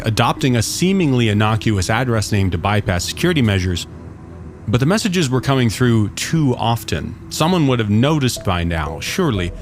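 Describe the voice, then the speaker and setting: Guy with a lowish voice narrating a sci-fi story, music playing behind him. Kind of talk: scripted science fiction story narration. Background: music under the voice.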